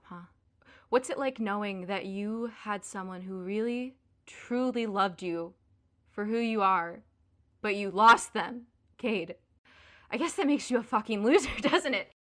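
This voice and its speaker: An actress plays a young woman betrayed by her boyfriend in an audio drama. Her voice is bright and forceful, with a biting, sarcastic edge. She swears freely, sounding both hurt and furious. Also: medium pitch.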